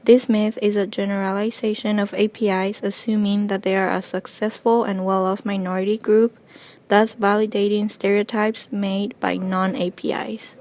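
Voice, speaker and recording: A woman reading a scripted documentary narration in a clear, informative way. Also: medium pitch, level tone, normal speed, a little mellow.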